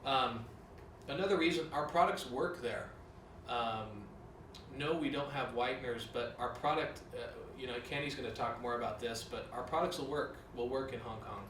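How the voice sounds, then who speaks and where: A man's voice: medium pitch, normal speed, a bit bright, recorded from a distance. A man giving a corporate training talk in a casual way, with many fillers. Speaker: company director. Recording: roomy, from off-mic, with clear noise.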